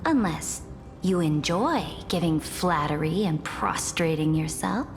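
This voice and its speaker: A haughty commanding queen type in a game, slow and expressive, big reverb, music under it. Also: fairly low pitch. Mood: scornful, challenging. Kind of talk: dramatic video game voice acting. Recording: long reverb, music behind.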